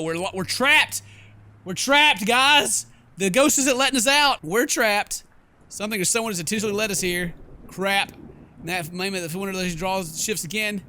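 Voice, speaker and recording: A man doing excitable, jokey let's play game commentary, exclaiming and mimicking the characters. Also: sits high, a little hiss or hum. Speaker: gaming YouTuber, let's play host.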